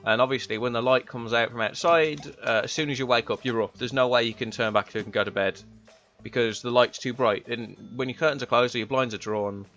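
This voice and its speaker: A young man with a slightly raspy voice, chatting in a rambling, laid-back way while streaming a game. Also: medium pitch, fairly even intonation, fairly quick, fairly bright tone, muffled recording. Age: young adult. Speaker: gaming streamer. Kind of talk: casual chat while playing. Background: game music.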